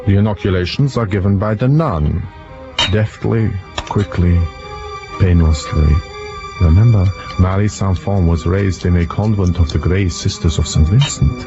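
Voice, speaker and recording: An actor playing a shrewd, self-assured detective in an audio drama, speaking in a deliberate, mock-courteous, accusing manner. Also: male voice, sits low, dull audio, over music. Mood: grave, accusing. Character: shrewd male detective exposing a murderess, theatrical, self-assured.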